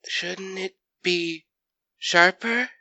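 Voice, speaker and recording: A performer voicing a young furry creature boy in an audio drama, earnest and curious, with halting, rustic speech. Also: mid-range voice, gravelly, sharp tone, no echo, pitched above the performer's own voice, full character voice. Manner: halting, rustic grammar, questioning.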